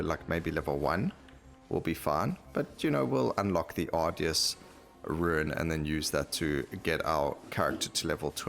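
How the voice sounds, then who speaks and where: A man with a low-pitched voice gives casual gameplay commentary over music. He thinks aloud, talking through each choice as he plays. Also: gravelly, fairly bright tone. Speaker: gaming YouTuber. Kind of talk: casual video game commentary.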